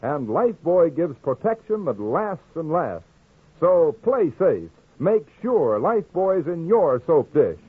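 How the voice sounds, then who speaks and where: A husky, somewhat high-voiced man delivering an emphatic vintage radio sales pitch with lively swings of intonation. The recording is dry and tinny, like old broadcast audio. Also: takes it slowly, no room sound. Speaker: commercial announcer. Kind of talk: old radio soap advertisement.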